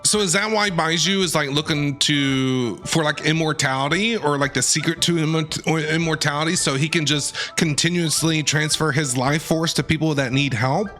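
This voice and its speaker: Casting: male streamer, chatty and inquisitive, punchy higher voice, off-the-cuff game commentary. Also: moderate pace, hardly any pauses, pushed and bright. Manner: chatty, inquisitive, repeats himself. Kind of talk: casual live game reaction commentary. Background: faint game music.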